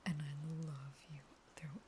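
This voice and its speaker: A woman acts out a starving female vampire in an intimate audio roleplay, low and breathy, mostly in whispers. She draws her words out slowly, an unstable caged lover who both pleads and threatens. Faint noise sits behind the voice. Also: level tone, darkish tone. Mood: feverish pleading.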